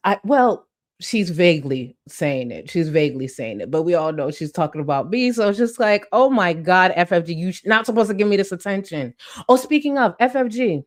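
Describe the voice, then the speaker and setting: A woman hosting a live commentary stream, reading chat and mocking her target with heavy sarcasm and swearing. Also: fairly low pitch.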